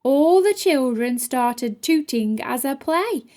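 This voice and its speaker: Female storytime reader: high, relaxed voice, unhurried delivery of rhyming kids' tales. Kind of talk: children's picture book read-aloud in rhyme.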